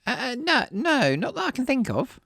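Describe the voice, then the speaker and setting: A woman's voice: high-pitched, sing-song, normal speed, creaky, a bit bright, dry recording, exaggerated voice. A woman voicing a flustered, timid middle-aged village man in acted story dialogue, stammering, hesitant and apologetic. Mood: nervous, hesitant.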